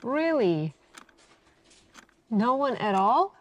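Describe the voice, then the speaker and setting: A woman playing a suspicious police detective in an ASMR interrogation roleplay, speaking in a deliberate, insinuating way with pointed pauses. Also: on the high side, sing-song, dull audio, exaggerated voice. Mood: probing, skeptical.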